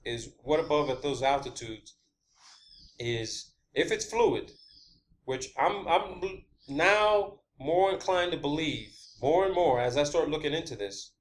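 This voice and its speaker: Man, slightly high pitch, informal solo monologue, halting, repetitive, emphatic, blunt. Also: expressive, a little hiss or hum, rough recording. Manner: blunt, swearing. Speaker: video host.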